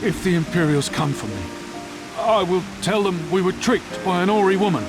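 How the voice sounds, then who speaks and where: A man's voice: high-pitched, normal speed, music in the background, lightly acted. An actor voicing an anxious common village man under occupation in a video game drama. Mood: defiant, uneasy.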